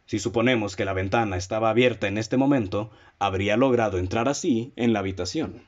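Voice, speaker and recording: A man with a Mexican accent playing a composed, analytical detective in a radio drama, speaking in a formal, didactic, deliberate way. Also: lowish voice, natural voice. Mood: explaining. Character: analytical male detective, methodical, composed, leading his listeners. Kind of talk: radio drama acting, formal literary dialogue. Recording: dull, muffled lo-fi audio.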